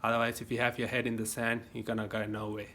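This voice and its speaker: Bright-voiced Australian man rambling to his phone. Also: medium pitch, monotone, fast talker, slight background noise. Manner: rambling, folksy advice with many fillers and restarts. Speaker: vlogger. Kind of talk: casual rambling monologue to a phone camera. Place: home gym. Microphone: phone camera.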